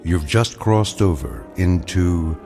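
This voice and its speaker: An actor playing a solemn, enigmatic narrator of an eerie radio anthology, with measured, portentous delivery. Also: male voice, sits low, expressive, full character voice. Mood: ominous. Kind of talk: scripted radio drama narration. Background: music.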